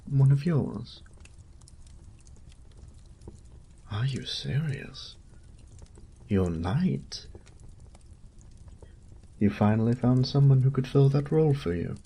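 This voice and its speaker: A man's voice: mid-range voice, dark tone, slight background noise, pitched above the performer's own voice. A man voicing a courteous noble knight captain in a fantasy audio roleplay, speaking in formal, polished phrases and politely probing. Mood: surprised, gracious.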